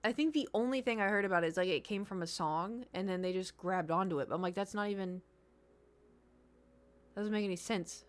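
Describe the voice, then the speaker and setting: A woman's voice: mid-range voice, fairly quick. Chatty American woman streamer. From United States. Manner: rambling, chatty, reads and answers chat. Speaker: gaming streamer. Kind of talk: casual chat while gaming. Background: background music.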